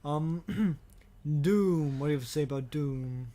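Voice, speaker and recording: A man co-hosting a casual podcast about movies, talking in halting, hesitant phrases with casual swearing. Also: a little high, mellow. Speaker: podcast co-host.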